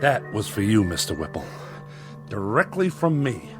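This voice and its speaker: An actor playing a bitter, principled long-serving employee in a radio drama, deliberate and dryly scornful. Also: male voice, lowish voice, sing-song, over music. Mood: indignant.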